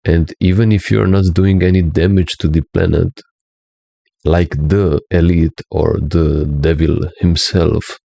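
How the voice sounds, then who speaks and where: A man's voice: low-pitched, fairly even intonation, a little slow, gravelly, dark tone, no echo, studio quality. A man from Slovenia with a Slovenian accent, speaking English as a second language in an earnest, preachy monologue as a spiritual teacher, often checking in with "right?".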